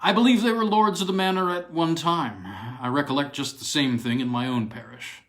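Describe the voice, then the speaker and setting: A man voicing a chatty English gentleman in a storybook, recounting old country gossip in a halting, rambling, self-correcting way. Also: fairly high pitch, fairly even intonation, voice pushed up. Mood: reminiscing. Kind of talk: acted dialogue in a read-aloud ghost story.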